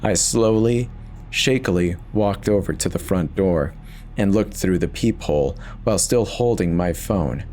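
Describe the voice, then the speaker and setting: A man's voice: low-pitched, unhurried, a little mellow, slight background noise. A man giving an intense, theatrical first-person reading of a horror story as its narrator.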